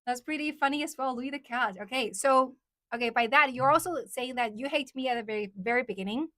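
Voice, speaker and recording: Young woman, higher voice, dry close sound; chatty livestream host who echoes viewer questions back. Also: fairly quick, a bit bright. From China. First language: Chinese. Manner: chatty, repeats questions aloud, switches between English and Mandarin. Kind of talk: casual livestream chat reading viewer comments.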